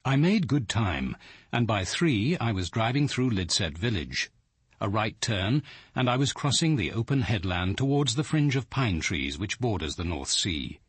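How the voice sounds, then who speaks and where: English detective, reserved, dry wit, measured delivery, husky low voice, radio drama. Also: male voice, big pitch swings, no echo. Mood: reflective. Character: reserved, dryly witty male detective who writes poetry. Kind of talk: British radio drama acting and reflective narration.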